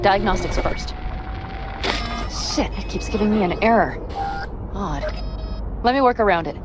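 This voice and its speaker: An actress playing a tough young woman mercenary in a video game, brisk, practical and wry. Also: mid-range voice, animated, lightly acted. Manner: brisk, practical, wry, casually foul-mouthed. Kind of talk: video game cutscene dialogue, naturalistic. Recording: clear vehicle and ambience noise behind.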